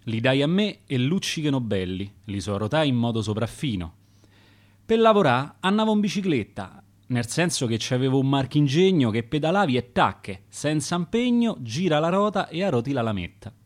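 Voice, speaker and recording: A man's voice: mid-range voice, very animated, moderate pace, close to an everyday voice. A man with a Roman accent playing a boastful male knife grinder in dialect verse for a radio play.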